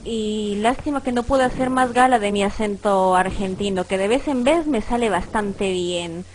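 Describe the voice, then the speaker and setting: A woman's voice: mid-range voice, moderate pace, a little hiss or hum, low-quality recording. Chatty nasal woman saying goodbyes. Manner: chatty, laughing, rambling goodbyes. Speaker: guest. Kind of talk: casual online radio chat.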